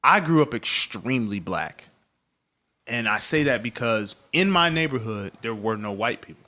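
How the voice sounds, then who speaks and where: A man from Cleveland telling stories in a casual, profane podcast chat. He is animated and laughs often. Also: medium pitch, normal speed. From Cleveland, Midwest US. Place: podcast studio.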